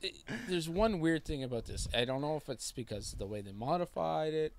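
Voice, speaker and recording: A man's voice: on the high side, lively intonation, a little fast. A man in his thirties, a co-host in casual chat, easygoing and agreeable, often trailing off.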